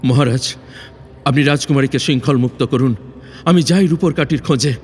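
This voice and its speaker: A man acts a resolute, earnest young prince of a fairy-tale radio play. His mid-pitched voice carries formal, dramatic phrasing and sits in long reverb with faint noise behind it. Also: fairly quick, faint music behind, natural voice. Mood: urgent, guiding. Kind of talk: Bengali audio drama acting.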